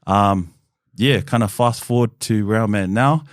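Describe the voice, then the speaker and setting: A man, a podcast guest telling his life story in a relaxed, open way with plenty of "um" and "kinda". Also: on the low side, unhurried, no room sound, clean studio sound. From New Zealand. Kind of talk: candid conversational storytelling.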